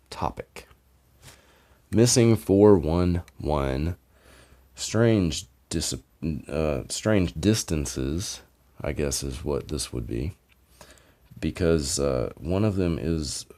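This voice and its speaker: A man's voice: extremely low pitch, vocal fry, dark tone. A man hosting a podcast, retelling stories in an informal, rambling way and often correcting himself. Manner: informal, digressive, self-correcting. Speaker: podcast host. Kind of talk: casual, rambling retelling of mysteries.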